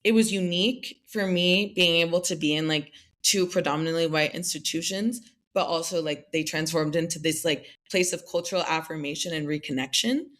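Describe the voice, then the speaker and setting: A woman's voice: low voice, fairly even intonation, fairly quick, a bit bright. Young woman musing in an interview. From United States. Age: young adult. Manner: thoughtful, many "like" fillers, light laughs. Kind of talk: reflective interview answers.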